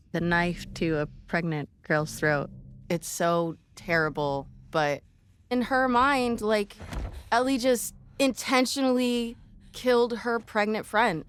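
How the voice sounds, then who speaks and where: Woman commenting, medium pitch. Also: a little hiss or hum. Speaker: commentator on the game's scenes. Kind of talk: casual behind-the-scenes commentary.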